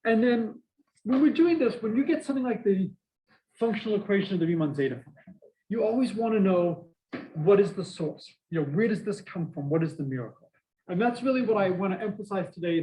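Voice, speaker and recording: A middle-aged man with a Boston accent giving a university math lecture, lively and full of asides and questions to the class. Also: sits high, a little fast, poor audio. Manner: energetic, prone to asides and questions to students. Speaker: math professor.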